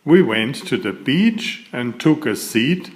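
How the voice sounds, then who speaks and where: A man narrating a children's picture book aloud in a deliberate, expressive storytelling manner. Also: mid-range voice. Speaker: author reading aloud.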